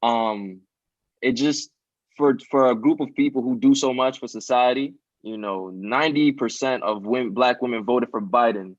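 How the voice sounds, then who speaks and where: A man's voice: medium pitch, moderate pace, no room sound. A twenty-year-old man speaking earnestly and from the heart as a podcast guest, often adding "you know" and "um". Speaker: podcast guest, student nonprofit founder. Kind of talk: casual conversational interview. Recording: dry, dull lo-fi sound.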